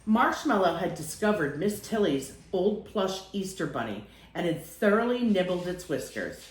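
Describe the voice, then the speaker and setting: A woman reading a children's picture book aloud in an expressive storytelling style. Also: fairly low pitch, normal speed, echoey room, low-quality recording.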